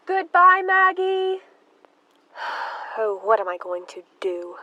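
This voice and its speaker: Fluttery, high-voiced Miss Emma, a fretful spinster, acted by a woman. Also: very animated, slow pace, dull audio, background noise, full character voice. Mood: flustered, anxious. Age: young adult. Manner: flustered, fluttery, easily agitated. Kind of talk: stage play acting in an audio drama.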